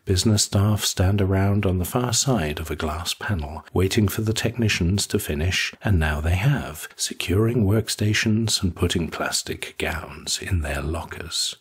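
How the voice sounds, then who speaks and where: A man narrating a scripted literary short story in a husky voice. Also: sits low, measured pace, few pauses.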